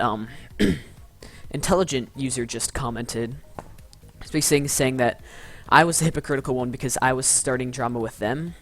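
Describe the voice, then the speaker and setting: A man's voice: medium pitch, fairly bright tone. A young man in his teens chatting casually on a live stream, stuttering and restarting often as he bluntly roasts commenters. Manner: stuttering, rambling, with frequent restarts.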